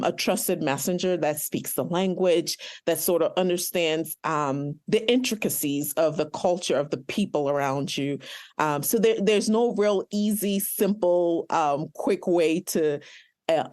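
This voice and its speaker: A woman pharmacist answering interview questions earnestly, with many pauses and ums; English is not her first language. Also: low voice, darkish tone. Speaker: pharmacist guest.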